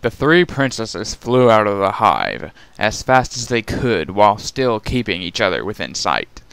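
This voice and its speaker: A man's voice: fairly low pitch, very animated, measured pace, creaky, fairly bright tone, clear, resonant tone, no echo. A man narrating a dramatic reading of a fantasy fan fiction story.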